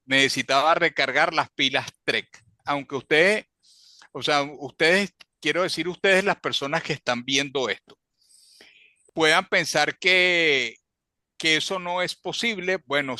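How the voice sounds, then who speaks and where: Bright-voiced Venezuelan man reminiscing. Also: on the high side, no echo, studio quality. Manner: talkative, often checks in with tag questions. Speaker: fan club member. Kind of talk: casual reminiscing in a group talk.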